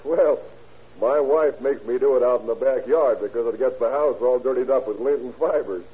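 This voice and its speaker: A man's voice: medium pitch, normal speed, close to an everyday voice. An actor playing a plain-spoken, matter-of-fact yarn salesman on the witness stand in an old radio drama. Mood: folksy, explanatory. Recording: tinny, narrow-band old radio recording.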